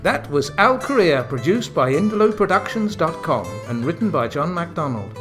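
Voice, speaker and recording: A man's voice: on the high side, sing-song, normal speed, over loud music. A man giving scripted comic narration for a radio play, in a wry, mock-grand manner. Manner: theatrical, wry.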